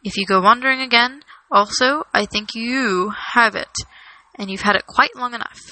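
A woman voicing a grave, stern old male wizard in a storybook, firm, patient and deliberate. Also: fairly high pitch, pushed and bright, a little hiss or hum, low-quality recording. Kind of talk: storybook character reading.

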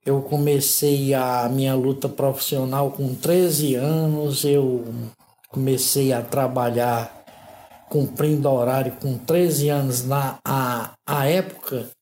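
A man, an interview guest telling his life story in a measured, thoughtful way. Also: medium pitch, fairly even intonation, mellow, slight background noise. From Ceará, Northeast Brazil. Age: 60s. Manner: measured, thoughtful, with frequent "né?" tags. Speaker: interview guest, artist and modeler. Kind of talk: unhurried reflective storytelling. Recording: roomy echo.

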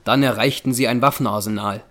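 A man's voice: medium pitch, fairly even intonation, quick pace, fairly bright tone. A man narrating a scripted audio drama in storytelling prose.

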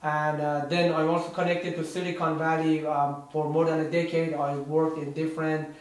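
A man's voice: a little high, little pitch movement. Earnest man in an echoey room. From Iran. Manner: earnest, measured, with frequent "you know" and "um". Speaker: podcast co-host. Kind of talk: casual podcast conversation. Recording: very echoey room, distant mic.

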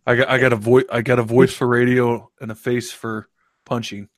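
A man's voice: mid-range voice, level tone, fairly quick, no room sound, clean studio sound. A man hosting a casual live-stream chat, joking and laughing often. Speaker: show host. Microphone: new studio microphone.

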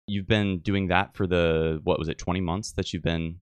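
Young male podcast host, very low voice, dry close sound, jokey, halting delivery. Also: steady tone, clear, resonant tone, no room sound. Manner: joking, halting, many restarts and "uh". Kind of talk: casual podcast interview.

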